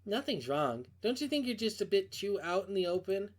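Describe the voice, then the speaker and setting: Cold, sly female friend, read by a man, hushed and insinuating; visual novel horror. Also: high-pitched, big pitch swings, normal speed, pitched above the performer's own voice. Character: sly, cold woman coolly taunting her friend by phone. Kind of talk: visual novel character reading.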